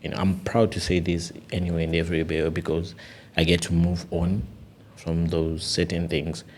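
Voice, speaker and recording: A young man with a very low voice talks through his story in a casual podcast conversation. He sounds earnest and animated, and he keeps checking in with "you know" and "you get it". A faint hum of noise sits under his voice. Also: normal speed.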